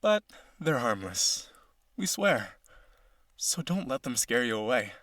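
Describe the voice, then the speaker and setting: A medium-pitched male voice, recorded dry and close, a man acting a wealthy vampire suitor in an audio roleplay. He is genteel and dramatic, boastful about his riches, with a lonely, possessive insistence. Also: big pitch swings, slow pace, a bit bright, dry recording. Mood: brooding, lonely.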